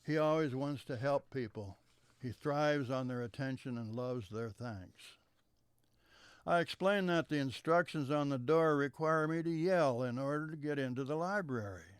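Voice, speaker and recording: Male storyteller, mid-pitched, smooth tone, dry close mic, unhurried reading that falls soft at line ends. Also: no room sound. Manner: measured, trailing off softer at the ends of sentences. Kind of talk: reading a memoir story aloud.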